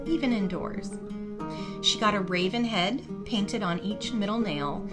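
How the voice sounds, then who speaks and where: A woman reading a scripted fantasy story as its narrator, voicing dialogue along the way. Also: medium pitch. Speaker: narrator of a fantasy fiction podcast. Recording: music behind the voice. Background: instrumental music.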